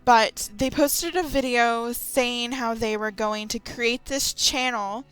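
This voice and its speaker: A woman's voice: a little high, fairly even intonation, takes it slowly, fairly bright tone, clean tone, rough recording. A woman giving casual, rambling opinion commentary as a YouTuber, with sarcastic asides. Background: light background music.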